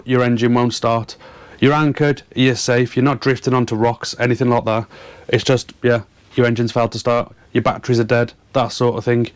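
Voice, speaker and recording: Man, British accent, medium pitch, informal presenter, plain-spoken, emphatic repetition. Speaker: boating and fishing channel host. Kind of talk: informal explainer to camera.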